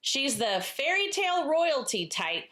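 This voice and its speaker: Slow-paced, animated woman podcast host. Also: high-pitched. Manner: playful, cheeky, dramatic pauses for emphasis. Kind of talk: casual book chat, introducing characters.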